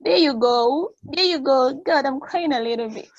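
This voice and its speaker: A woman playing an excitable friend giving guidance in a table read of a stage play. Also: high-pitched, moderate pace, no echo, highs cut off. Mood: thrilled. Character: excitable woman guiding her friend over the radio link.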